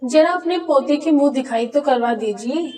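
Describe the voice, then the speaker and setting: A woman's voice: high-pitched, normal speed, darkish tone, recorded from a distance, close to an everyday voice. A woman voicing a nosy, teasing gossipy neighbour in a cartoon story. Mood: prying.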